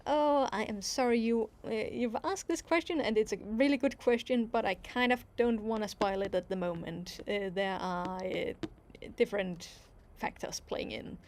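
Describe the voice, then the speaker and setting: A woman's voice: mid-range voice, normal speed. A woman with a Danish accent, hosting a casual livestream Q&A as an animation creator, reading viewer questions and answering thoughtfully with plenty of ums. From Denmark. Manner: reads viewer questions aloud, then answers thoughtfully with many fillers.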